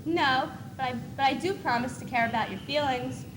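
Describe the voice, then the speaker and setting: A woman's voice: sits high, a little fast, lightly acted. A young teenage girl playing a thoughtful, uncertain schoolgirl in a school stage play. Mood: earnest, wistful. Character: thoughtful teenage girl unsure about boys. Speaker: student actor in a school one-act play. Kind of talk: school stage monologue and dialogue. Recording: noisy 1980s school video recording.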